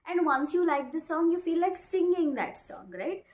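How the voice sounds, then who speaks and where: A woman's voice: extremely high pitch, expressive, moderate pace, a little mellow. A woman in her twenties with an Indian accent, a non-native English speaker, presenting an informal lesson to camera, emphatic and repeating phrases with frequent check-ins. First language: not English. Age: 20s. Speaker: English-learning video presenter. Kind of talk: informal instructional talk to camera. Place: small bare indoor room. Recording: echoey bare room.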